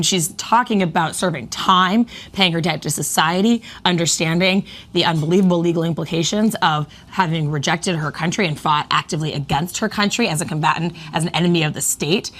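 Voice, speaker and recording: Lower-voiced woman co-anchoring news. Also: fairly quick, few pauses, fairly bright tone. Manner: engaged, emphatic commentary. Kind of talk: live news desk conversation.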